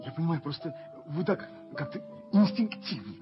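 A man playing a nervous, lovestruck young English gentleman in a radio drama, speaking in a halting, flustered manner. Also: fairly high pitch, big pitch swings. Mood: flustered, tender. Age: young adult. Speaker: stage actor in radio play. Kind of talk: Soviet radio drama acting. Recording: dull vintage radio-play tape with music.

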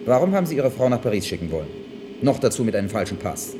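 A man acting in a German radio play as a suave, coldly menacing government official with a formal, smooth manner. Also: fairly low pitch, very animated, fast talker. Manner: formal, smooth, veiled threats. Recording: noisy archival radio drama recording.

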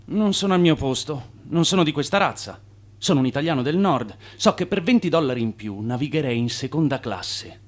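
Proud, agitated high-voiced emigrant. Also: male voice, animated, a little fast. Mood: agitated, indignant. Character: proud, agitated Northern Italian male emigrant, indecisive. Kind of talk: theatrical radio drama.

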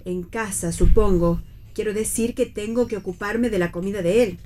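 A woman's voice: mid-range voice, moderate pace, warm tone, natural voice. An Argentine woman playing an anxious, conciliatory middle-aged mother who rambles politely in a theatrical radio play reading.

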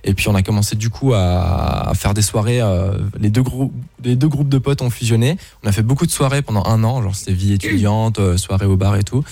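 A man's voice: fairly low pitch, fairly even intonation, a little fast, vocal fry, few pauses, mellow, no room sound. A man from Luxembourg speaking casually and informally, with slang, as a guest in an interview. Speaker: interview guest, online video creator.

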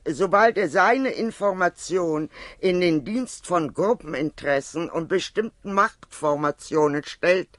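Male reader, high voice, measured and emphatic essay delivery, dry close sound. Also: a bit bright, no room sound. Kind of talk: formal reading of a political-philosophical essay.

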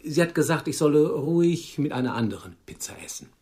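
An older actor playing a meek, lonely old widower in a radio play, awkwardly polite and hesitant. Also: male voice, a little high, animated, fairly quick, mellow, close to an everyday voice. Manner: hesitant, apologetic, formally polite.

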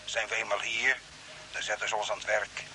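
A man acting in a radio play as a mysterious, calmly insistent Earthman stranded on Mars, speaking in a measured, formal way. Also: lowish voice, low-quality recording. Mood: calm, knowing. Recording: hissy old radio broadcast, faint noise.

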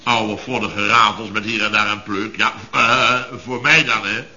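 A man's voice: fairly low pitch, steady tone, unhurried, bright, forceful tone. An actor playing a blustering, indignant boss of a building firm in a radio comedy, stammering and repeating himself as he rambles. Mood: scornful. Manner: stammering repetitions, sputtering, rhetorical tags. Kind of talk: 1970s radio comedy acting. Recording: dull vintage radio recording.

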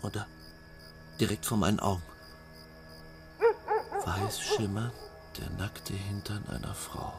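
Male narrator; low, slow, hushed and suspenseful. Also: fairly even intonation, loud music behind the voice. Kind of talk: storytelling narration.

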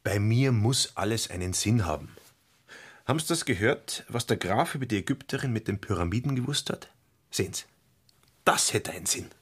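A man's voice: on the low side, animated, normal speed, a bit bright, slightly rough. An actor playing a self-important, money-minded car salesman in a radio play, opinionated and blustering. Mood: blunt, self-important.

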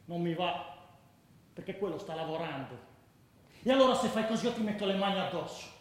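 Blunt, high-voiced male ultra, very echoey. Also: expressive, fairly quick. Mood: indignant. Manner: blunt, colloquial, emphatic. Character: combative, principled left-wing male football ultra. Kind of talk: dramatised first-person monologue, radio play. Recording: very echoey room with background noise.

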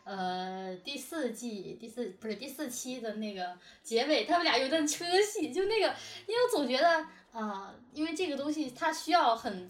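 A woman's voice: high voice, animated, normal speed, few pauses. A woman interviewing in a casual, informal chat style, a bit giggly in manner. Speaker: interviewer, drama producer. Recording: roomy, distant mic, faint music behind. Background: music.

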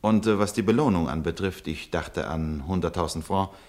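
A man's voice: low-pitched, moderate pace, darkish tone, natural voice. An actor playing a cold, falsely polite German officer in a radio play who curtly dismisses a woman. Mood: smoothly formal. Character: cold, smooth German occupation officer, middle-aged. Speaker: officer taking a denunciation.

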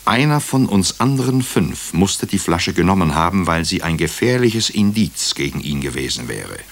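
An actor playing a brooding hospital doctor who narrates a radio crime drama in clipped, deliberate phrases. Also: male voice, sits low, big pitch swings, full character voice. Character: brooding male hospital doctor recounting a murder case. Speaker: actor narrating as the lead character.

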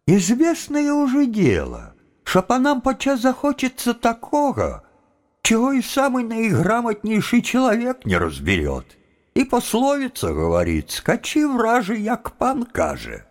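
An actor playing a wily, evasive young philosopher student in a theatrical audio drama, with a folksy, deferential, drawling delivery. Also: male voice, very high-pitched, huge pitch swings, unhurried, heavily acted. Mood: evasive, respectful.

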